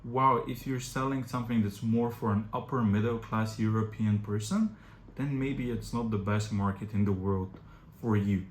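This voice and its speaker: Bulgarian man giving business advice. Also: mid-range voice. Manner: direct, advisory, frequent "uh" fillers. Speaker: agency founder presenting. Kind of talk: informal explainer to camera. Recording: echoey room with faint background noise.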